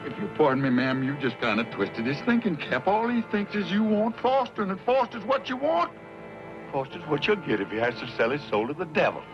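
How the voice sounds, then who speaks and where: Stubborn old western frontiersman, folksy drawl, pitch jumps around, muffled old film w/ music. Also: sing-song, fairly quick. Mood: plainspoken. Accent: American frontier drawl.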